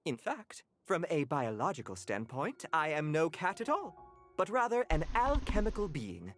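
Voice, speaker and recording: A woman's voice: sits low, very animated, moderate pace. A woman voicing a haughty talking butler cat in a video game, formal, pompous and precise. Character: haughty elder butler cat, an alchemical being. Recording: music under the voice.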